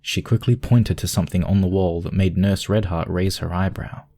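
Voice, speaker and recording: Low, mellow male story narrator. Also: fairly even intonation, moderate pace, vocal fry.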